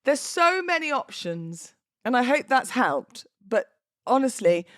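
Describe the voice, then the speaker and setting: A woman's voice: a little high, sing-song, unhurried, no echo, studio quality. A woman hosting a podcast solo, talking informally and conversationally in a self-deprecating way. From United Kingdom. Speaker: podcast host. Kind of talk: chatty solo podcast with readings aloud.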